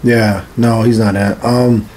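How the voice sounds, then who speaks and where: A man's voice: fairly low pitch, little pitch movement, unhurried, a little mellow, a little hiss or hum. A man in his thirties co-hosting a podcast, chatting casually in short interjections. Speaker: podcast co-host. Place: small home studio room, indoors.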